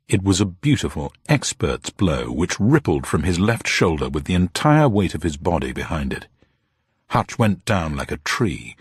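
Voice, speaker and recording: A man narrates a detective story with dramatic intent. His voice is low and husky with an animated range, and the recording is dry and close. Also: dry recording. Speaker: audiobook narrator.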